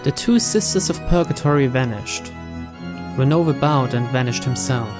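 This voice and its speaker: A man reads a visual novel's text aloud in a steady, even narrating voice. Game music plays clearly underneath. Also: medium pitch, normal speed.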